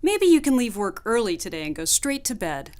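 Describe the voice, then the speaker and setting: A woman playing a soothing, doting housewife in a radio play, reassuring and gently dismissive. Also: a little high, big pitch swings, a little fast. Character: soothing, doting housewife, fussy over her little dog. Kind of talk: mid-century radio play acting.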